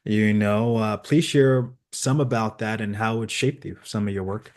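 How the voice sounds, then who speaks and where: Low-ish voice guy hosting a chat, earnest and encouraging. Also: fairly even intonation, normal speed, darkish tone. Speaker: host. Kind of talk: conversational interview.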